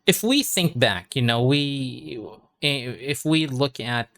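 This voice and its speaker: A man's voice: mid-range voice, takes it slowly, a bit bright. A man tutoring English over a video call, chatting casually and often restarting his words. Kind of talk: conversational English lesson.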